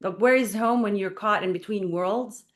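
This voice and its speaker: A woman's voice: medium pitch, normal speed. Earnest woman guest on talk radio. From Israel. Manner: earnest, uses many fillers like "like" and "you know". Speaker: guest, playwright and performer. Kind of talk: radio interview. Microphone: studio microphone.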